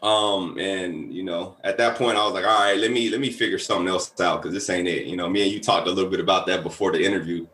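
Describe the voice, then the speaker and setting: A man from the United States talks as an interview guest, relaxed and slangy. His voice is very low, heard with a noticeable roomy echo. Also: fast, few pauses. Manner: relaxed, slangy, loose with fillers. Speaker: guest, entrepreneur. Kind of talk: casual interview storytelling.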